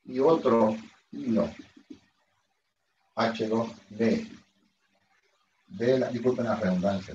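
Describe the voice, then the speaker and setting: A man's voice: fairly low pitch, darkish tone, a little hiss or hum. A middle-aged man teaching an online class at a whiteboard, chatty and self-deprecating, often asking his students questions through a headset mic. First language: Spanish. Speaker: university lecturer. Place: room at a whiteboard, indoors. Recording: roomy, slightly dull video-call audio.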